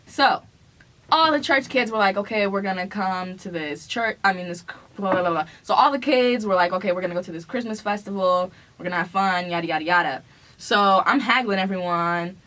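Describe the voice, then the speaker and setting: A woman's voice: mid-range voice, brisk, fairly bright tone. A young woman in casual storytime chat, teasing and playfully dramatic as she retells things. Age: young adult. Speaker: vlogger telling a couple story.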